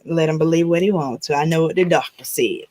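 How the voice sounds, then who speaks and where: A woman's voice: low-pitched, fast, noisy background. A woman hosting a podcast, chatting casually, teasing her guest and laughing often. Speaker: podcast host. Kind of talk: casual chat.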